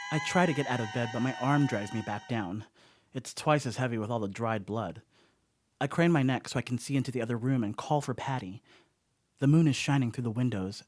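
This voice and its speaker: An actor playing a rattled, wry slacker who rambles through a confession in a horror comedy radio play. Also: male voice, medium pitch, darkish tone, close to an everyday voice.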